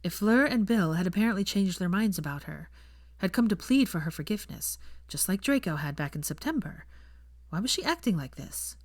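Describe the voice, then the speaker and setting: A woman's voice: medium pitch, expressive, brisk, no room sound, clean studio sound. A woman narrating a fan fiction audiobook, reading scripted prose as a storyteller.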